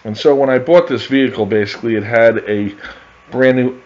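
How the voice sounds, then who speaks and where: A middle-aged man narrating his own restoration project over photos in a casual, halting way, with many fillers and restarts. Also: mid-range voice, darkish tone. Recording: dull lo-fi voice-over.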